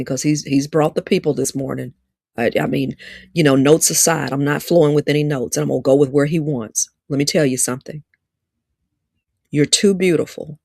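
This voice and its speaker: A woman's voice: deep voice, creaky, a little mellow, no echo, studio quality. A woman in her fifties with an Alabama accent gives a livestreamed motivational talk, emphatic and preacher-like, repeating lines for stress. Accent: Southern US, Alabama. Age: 50s. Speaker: relationship speaker.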